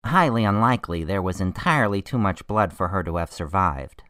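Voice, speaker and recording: A man's voice: low voice, animated, fairly quick, a bit bright, no echo, clean studio sound. An actor playing an earnest, anxious male medical examiner in a radio drama, explaining things expressively. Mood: worried, explaining.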